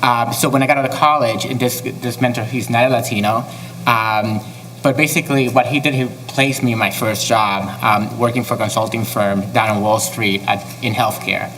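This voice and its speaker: A man's voice: mid-range voice, fairly even intonation, moderate pace, fairly bright tone, a little hiss or hum, low-quality recording. A man raised in the Bronx, speaking easily as a panelist with frequent ums. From Bronx, New York. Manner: easygoing, many ums. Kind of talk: panel discussion. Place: event hall, live panel.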